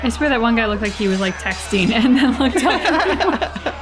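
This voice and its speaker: A woman's voice: fairly high pitch, big pitch swings, moderate pace, music in the background. A woman co-hosting a reaction video, chatting in an informal, joking way. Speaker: reaction channel co-host. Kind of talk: casual reaction chat.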